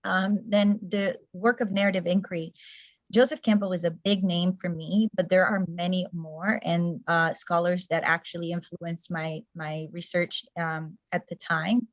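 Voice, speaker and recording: A woman's voice: lowish voice, fairly even intonation, measured pace, dry recording, muffled recording. A middle-aged woman whose first language is Portuguese gives a thoughtful talk over a video call, with frequent fillers and restarts. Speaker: presenter.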